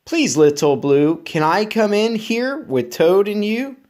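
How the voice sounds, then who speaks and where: A man's voice: high-pitched, expressive, takes it slowly, darkish tone, pitched above the performer's own voice. Storybook goat, nervous but claims bravery, slightly raised voice, read by a man. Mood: pleading, sheepish.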